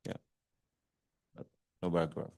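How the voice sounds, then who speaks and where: A man from Switzerland with a Swiss accent, introducing himself haltingly from across the room. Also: warm tone, dry recording, clean studio sound. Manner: hesitant, halting.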